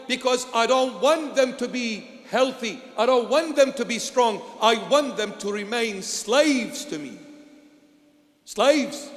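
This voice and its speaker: Man, bishop preaching slowly in a large echoing hall; dramatic swings in volume. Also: very high-pitched. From Iraq. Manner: dramatic, swings from loud exclamations to hushed lines. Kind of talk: sermon.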